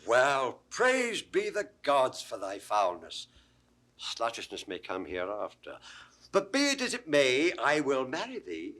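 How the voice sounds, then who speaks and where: An actor playing a witty, bawdy court jester with mock-pompous relish in a 1960s Shakespeare audio drama. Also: male voice, mid-range voice, huge pitch swings, unhurried, a bit bright. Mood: teasing, mock-learned. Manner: theatrical, wordplaying, mock-pompous.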